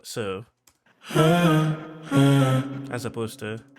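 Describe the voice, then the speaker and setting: A man with a Trinidadian accent casually talking through how he produced a track, chatty and full of fillers, getting excited as he goes. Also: fairly low pitch, drawn-out words, soft background music. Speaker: music producer.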